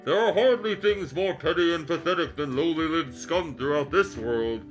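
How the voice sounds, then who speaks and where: A man voicing a calm, solemn ghost guide who lectures sternly in a fan fiction reading. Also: fairly high pitch, normal speed, faint music behind, lightly acted, rough recording. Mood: solemn lecturing.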